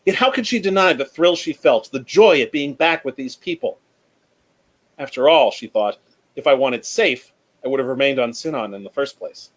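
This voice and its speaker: A man speaking as a panelist, chatting casually and reading book excerpts aloud in a measured, storytelling way. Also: mid-range voice, lively intonation. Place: remote online panel from home.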